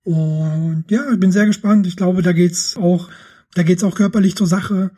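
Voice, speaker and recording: A man's voice: sits high, moderate pace. A man hosting a podcast, chatting casually about football with a guest and wrapping up the show. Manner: relaxed, thanks the guest and wraps up the show. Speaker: podcast host.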